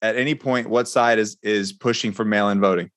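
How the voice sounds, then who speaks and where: A man speaking as a podcast guest in earnest conversation, building his points haltingly by restating them. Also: fairly low pitch, fairly even intonation, fairly bright tone. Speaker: podcast guest, military veteran. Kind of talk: earnest conversational political talk.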